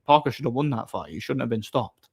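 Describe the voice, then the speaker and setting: A man hosting a livestream, chatting casually and off the cuff in a blunt, opinionated, somewhat halting way. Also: mid-range voice, lively intonation, creaky, slightly rough. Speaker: livestream host. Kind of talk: casual off-the-cuff chat, answering viewer comments.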